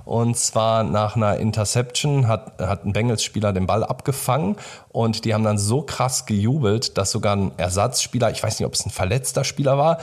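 Low-voiced young German man chatting casually. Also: brisk. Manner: colloquial, slangy, enthusiastic. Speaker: podcast co-host. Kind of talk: casual chat.

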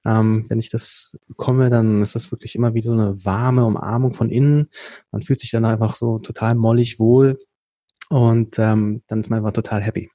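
A man from Berlin, a podcast guest, telling his story in a casual chat, often pausing with fillers and restarting sentences. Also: mid-range voice, mellow, no room sound. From Berlin, Germany. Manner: explains at length with frequent hesitation fillers and restarts. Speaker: podcast guest, company co-founder.

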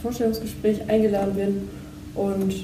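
A nineteen-year-old woman training in insurance, easygoing and a bit trailing. She sounds far from the mic in an echoey room, with clear background noise. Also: mid-range voice, little pitch movement, moderate pace. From Lower Saxony, Germany.

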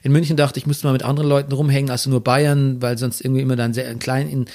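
A man's voice: mid-range voice, little pitch movement, very fast, darkish tone. A man from Bavaria with a Bavarian accent, telling stories in casual conversation with frequent restarts. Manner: chatty storyteller, frequent restarts.

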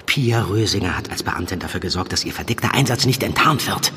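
An actor playing a harried, loyal police handler in a fiction podcast, defensive, hesitant and earnest. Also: male voice, low-pitched, expressive, fairly quick, pushed and bright. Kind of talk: naturalistic fiction podcast acting in German. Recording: reverberant room with faint background noise.

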